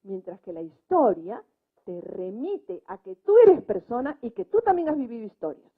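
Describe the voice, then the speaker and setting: A woman's voice: fairly high pitch, sing-song, normal speed, breathy edge. A woman speaking spontaneously as a panelist in a debate, making her points emphatically and often checking in with short tag questions. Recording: muffled, narrow-band live recording.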